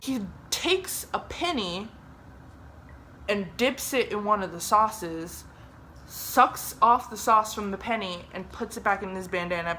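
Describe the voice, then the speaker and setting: A woman's voice: medium pitch, slight background noise. Chatty swearing young woman. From Germany. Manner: chatty, swears often, lively re-enacted quotes. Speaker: vlogger telling a story. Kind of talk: casual storytime.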